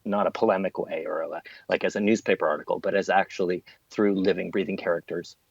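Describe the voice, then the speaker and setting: A man's voice: sits low, fairly even intonation, fast, vocal fry. A man being interviewed as a theatre artist, talking in an earnest, discursive way with emphatic repetition. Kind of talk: thoughtful interview talk. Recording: dull, roomy, lo-fi sound.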